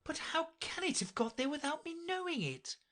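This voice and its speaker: A woman's voice: sits high, very animated, moderate pace, fairly bright tone, pitched above the performer's own voice. A woman voicing a polite, earnest young girl with a slightly timid manner. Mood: earnest girlish.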